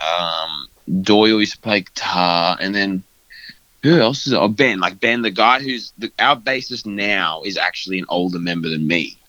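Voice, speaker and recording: A man's voice: low-pitched, normal speed, bright, forceful tone, dry recording, lo-fi sound. A young man talking casually in an interview, loose and rambling with lots of fillers. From Australia. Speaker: guest band vocalist.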